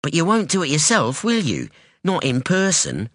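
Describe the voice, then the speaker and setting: Casting: man doing a blunt, defiant young woman in an audiobook, raised and lively, close dry sound. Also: a little high, normal speed, no echo, pitched above the performer's own voice, heavily acted. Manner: blunt, challenging, insistent. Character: sharp, defiant young woman, street-tough daughter. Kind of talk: audiobook dialogue.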